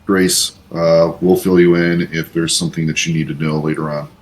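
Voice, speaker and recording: A man's voice: low-pitched, flat delivery, moderate pace. A businesslike man improvising in character as a player in a tabletop role-playing game.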